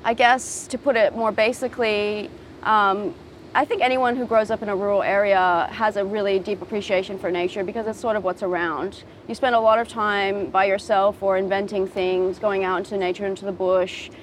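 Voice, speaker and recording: A woman raised in Japan talks thoughtfully about her upbringing, pausing often with ums. She speaks at a mid pitch for a woman. She is outdoors at a beach with faint traffic behind her. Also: fairly even intonation, poor audio. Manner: reflective, thoughtful, frequent ums. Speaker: guest, designer and model. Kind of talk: casual interview answers.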